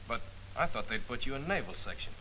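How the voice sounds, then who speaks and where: An actor playing a stern, principled Army major in a 1940s training film, speaking with lecturing authority. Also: male voice, medium pitch, big pitch swings, fast, pushed and bright, rough recording. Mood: authoritative. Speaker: Major Dean. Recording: 1940s film soundtrack with faint hiss.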